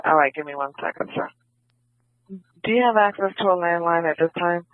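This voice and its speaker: High-pitched policeman over tinny radio. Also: a little fast, no room sound. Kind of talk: police radio dispatch talk. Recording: tinny narrow-band police scanner radio feed.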